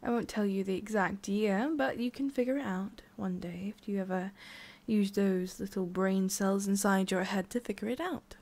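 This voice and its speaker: A woman playing a haughty, centuries-old tsundere forest witch in an ASMR roleplay, scolding the listener with condescension and theatrical pauses. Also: medium pitch, a little mellow, close to an everyday voice. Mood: condescending.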